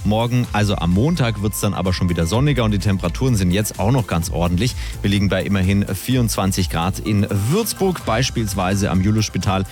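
A man's voice: lowish voice, expressive, a little fast, hardly any pauses, a little mellow. A man presenting a radio weather forecast. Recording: faint music bed.

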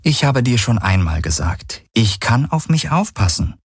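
Man voicing an earnest woman, lifted pitch. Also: very animated, a little slow, vocal fry, husky, no echo, clean studio sound, full character voice. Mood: serious, sincere. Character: young adult woman, resilient, earnest, worried space traveller Kirai. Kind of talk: dramatised audiobook dialogue.

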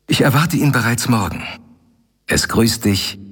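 An actor playing an earnest young doctor in a radio play, measured as he reads his letter aloud. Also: mid-range voice, animated, fairly bright tone, husky. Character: earnest young male doctor, worried friend.

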